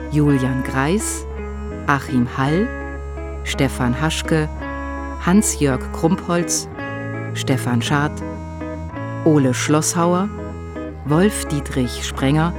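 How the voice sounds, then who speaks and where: A woman reading scripted radio drama credits as an announcer. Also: deep voice.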